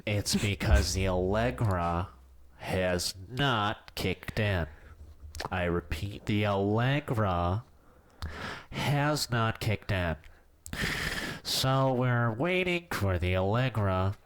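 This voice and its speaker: A man hosting a casual podcast, congested from allergies, with a nasal, stuffy voice and a drawn-out deadpan delivery. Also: fairly low pitch. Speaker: podcast co-host. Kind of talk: casual chat.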